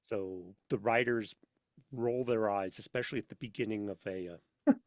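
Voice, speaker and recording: A man chatting casually as an interview guest, telling anecdotes in an animated way and acting out bits of dialogue. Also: lowish voice, normal speed, no echo, studio quality. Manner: animated storyteller, acts out imagined dialogue. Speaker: podcast guest, sound designer for audio dramas. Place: inside a studio.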